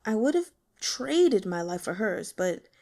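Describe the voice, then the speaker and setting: A woman's voice: medium pitch, big pitch swings, gravelly, darkish tone. Woman reading as a shy, earnest young man. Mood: earnest consoling. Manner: halting, foolishly sincere. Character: shy, awkward, earnest young man consoling someone gently. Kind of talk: audiobook character dialogue.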